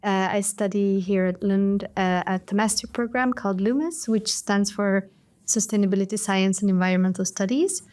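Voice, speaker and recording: A young woman from Hungary, a master's student and podcast guest, explaining ideas in a measured way. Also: mid-range voice, a little mellow. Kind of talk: interview answers.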